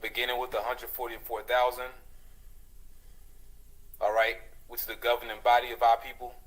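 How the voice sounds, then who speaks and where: A man leads a solemn religious invocation, measured and formal in his phrasing. His pitch stays level and flat, moving little from line to line. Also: poor audio. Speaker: religious teacher in a played video.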